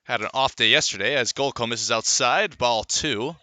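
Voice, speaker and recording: Bright, punchy male baseball announcer. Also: mid-range voice, normal speed, no room sound. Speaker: radio play-by-play baseball announcer. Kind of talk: live sports play-by-play commentary.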